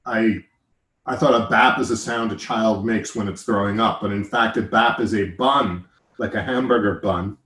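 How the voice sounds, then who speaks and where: A man's voice: fairly low pitch, moderate pace, a bit bright, reverberant. A man with an American accent, hosting a casual chat in a joking, rambling way that often interrupts itself. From United States. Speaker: podcast host.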